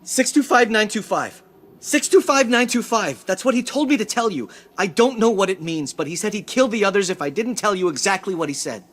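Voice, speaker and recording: High, husky frightened hostage. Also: male voice, animated, fairly quick, a bit bright. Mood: scared, exasperated. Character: frightened, exasperated male hostage. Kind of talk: video game voice acting.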